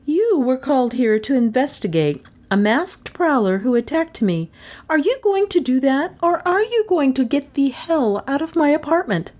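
Indignant young woman played by a female narrator, high-pitched, blunt and defiant, audiobook mystery. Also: sing-song, measured pace, darkish tone.

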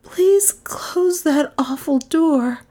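A woman's voice: high-pitched, animated, unhurried, mellow, voice pushed up. A woman doing a trembling frightened girl. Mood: shaken. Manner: tremulous, breathy. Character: frightened young woman recovering from a faint.

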